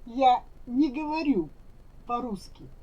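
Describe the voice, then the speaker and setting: A woman teaching Russian, saying each phrase slowly and carefully so learners can repeat it. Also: fairly high pitch, very animated, warm tone, slightly rough. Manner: careful, deliberate enunciation. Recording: dull lo-fi recording.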